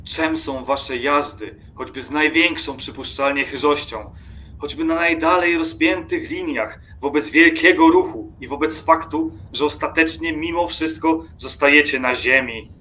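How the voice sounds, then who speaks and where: Man acting a sharp, scornful train passenger. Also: fairly high pitch, unhurried. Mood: impassioned lecturing. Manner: emphatic, declamatory, contemptuous. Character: irritable, scornful male train passenger, obsessive lecturer. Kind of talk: audio drama acting.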